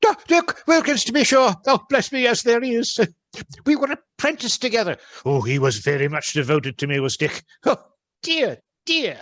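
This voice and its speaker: Swooping, high, wistful old man. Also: very animated, normal speed, dry recording. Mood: moved, nostalgic. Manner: exclaiming, sentimental murmuring. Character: elderly Scrooge, wistful man moved by memories. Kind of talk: radio play acting.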